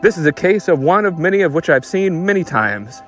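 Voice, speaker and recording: A man's voice: fairly high pitch, very animated, a little fast, a bit bright, highs cut off, soft background music, pitched above the performer's own voice. A man playing a hard-boiled 1940s private detective in a radio noir parody, wisecracking in clipped old-time gumshoe slang. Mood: brooding, dramatic.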